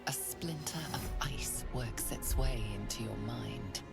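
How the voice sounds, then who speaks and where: A woman playing an ominous storyteller, giving literary second-person narration in a dark video game, with a creaky voice. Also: a bass voice, takes it slowly, over loud music, exaggerated voice.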